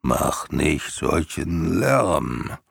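Storybook creature: ancient female turtle male actor, very deep, husky and wheezing, listless, dismissive, weary of everything. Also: big pitch swings, very slow, no room sound, studio quality, full character voice. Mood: irritated, weary. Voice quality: gurgling, breathy, wheezing.

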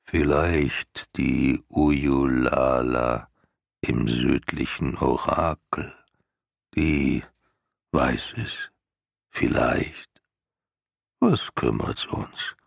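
Storybook creature: ancient female turtle male actor, very deep, husky and wheezing, listless, dismissive, weary of everything. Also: drawn-out words, pauses often, no room sound, clean studio sound, exaggerated voice. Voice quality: gurgling, breathy, wheezing.

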